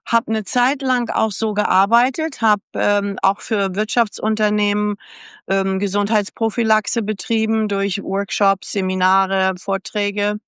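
A woman's voice: mid-range voice, flat delivery, measured pace, fairly bright tone, smooth, dry recording, studio quality. A woman around seventy, born in the United States, candidly telling her life story as a guest in a casual interview and acting out past conversations. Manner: candid, chatty storyteller who acts out past dialogue. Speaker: podcast guest.

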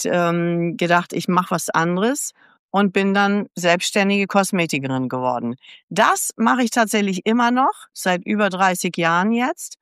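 Chatty older woman, smooth and close. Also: mid-range voice, fairly bright tone, dry recording. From United States. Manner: candid, chatty storyteller who acts out past dialogue. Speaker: podcast guest. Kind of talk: casual life-story interview.

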